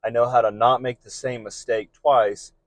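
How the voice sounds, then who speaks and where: A man's voice: mid-range voice, level tone, moderate pace, no room sound. Earnest man giving advice, dry sound. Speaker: delivery driver giving advice to peers. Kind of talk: informal vlog advice monologue.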